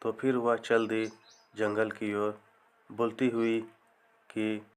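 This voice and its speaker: A man narrating a scripted comic folktale as a storyteller, in measured short phrases. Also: fairly low pitch, completely flat delivery, smooth.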